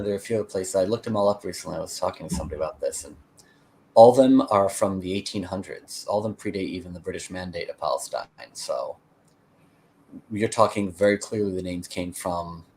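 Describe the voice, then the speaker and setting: Male, American, low register. Interview guest who explains and meanders as he tells his story. Slight background noise. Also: a little mellow, low-quality recording. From United States (Connecticut). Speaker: interview guest, peace activist. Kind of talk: conversational storytelling.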